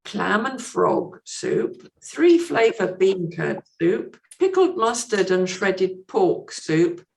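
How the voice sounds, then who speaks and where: Female podcast guest, animated pitch, gravelly creak; articulate literary explainer. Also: slow pace, slight background noise. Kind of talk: literary discussion and reading aloud.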